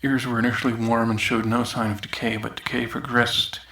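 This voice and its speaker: A man's voice: mid-range voice, flat delivery, brisk, pushed and bright. Guy playing a grumbly researcher dude reading a dry official log, kinda irritable, audio drama style. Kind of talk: scripted in-character document reading. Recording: noisy outdoors, later echoey bathroom.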